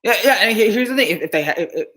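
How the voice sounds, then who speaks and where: A man from New Jersey on a live stream, answering chat questions in a halting, dejected way, with frequent stammered restarts and sighs. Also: high-pitched, expressive, pushed and bright.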